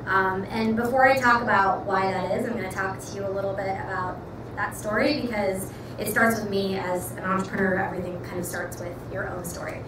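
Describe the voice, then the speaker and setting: A young woman with an American accent, a startup founder giving an earnest pitch talk to a live audience, full of ums and likes. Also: fairly even intonation. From Pakistan. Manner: earnest, fast-flowing, many ums and likes. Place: event room with audience. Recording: echoey room, far mic, noisy.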